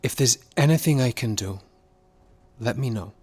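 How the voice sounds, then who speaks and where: A man's voice: medium pitch, normal speed, a little mellow, slightly rough, no echo, natural voice. A man acting in an audio drama as a loyal, good-humoured member of a 1940s touring theatre company, earnest and companionable. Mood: tender, hesitant.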